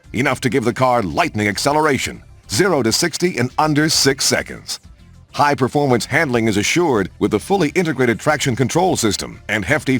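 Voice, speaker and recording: Husky male promo narrator, lively pitch. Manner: polished, emphatic announcer delivery. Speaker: narrator of car showcase videos in a racing game. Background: music.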